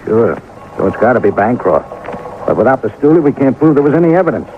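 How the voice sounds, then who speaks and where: An American actor playing a plainspoken, weary homicide sergeant in a 1950s radio drama, terse and colloquial. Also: male voice, medium pitch, animated, quick pace, natural voice. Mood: dogged. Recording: tinny, noisy old radio recording.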